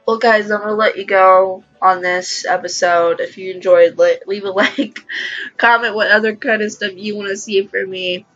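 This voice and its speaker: A woman's voice: mid-range voice, steady tone, normal speed, a bit bright, lo-fi sound, faint music behind. A woman hosting a gaming channel, reading a visual novel aloud and adding emotional commentary. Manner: emotional, exclaiming reactions.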